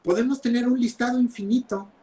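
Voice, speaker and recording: A young man preaching a sermon over a video call, emphatic, with loud stresses and quiet drops. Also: extremely high pitch, fairly even intonation, fairly quick. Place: home, speaking over videoconference.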